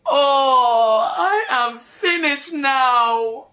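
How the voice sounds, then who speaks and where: A woman's voice: on the low side, big pitch swings, measured pace. A woman voicing a proud, indignant housekeeper, theatrical and prone to wailing. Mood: anguished. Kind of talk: radio drama acting.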